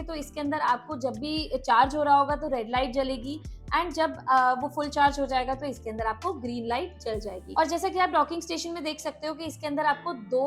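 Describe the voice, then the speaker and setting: A woman's voice: sits high, fairly bright tone. A woman with an Indian accent presenting a casual product review, explaining step by step and mixing in English words.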